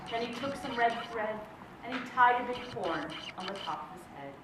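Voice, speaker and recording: A woman's voice: fairly high pitch, animated, background noise. A woman reading a storybook aloud to children in a dramatic, emphatic way, drawing out key words. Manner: theatrical, emphatic repetition and drawn-out words. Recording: echoey room, distant mic, music behind.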